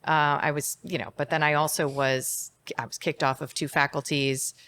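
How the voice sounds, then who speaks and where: A woman's voice: sits low, little pitch movement, normal speed, fairly bright tone, a little hiss or hum. A middle-aged woman interviewed as a guest psychiatrist, speaking in a candid, reflective way and laughing easily. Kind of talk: interview conversation.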